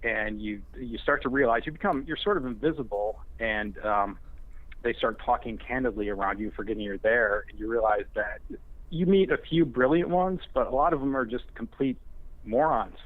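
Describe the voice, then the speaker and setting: A man speaking haltingly as a guest writer in a casual interview, over a phone line. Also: mid-range voice, no room sound. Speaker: guest screenwriter. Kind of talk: interview answers, casual chat. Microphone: remote call on a phone line.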